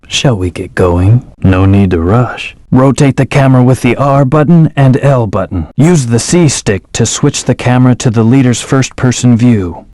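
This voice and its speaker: An actor voicing an aloof male hedgehog hero in a video game, giving calm, terse commands and hints. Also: medium pitch, no echo, clean studio sound, lightly acted. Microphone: studio microphone.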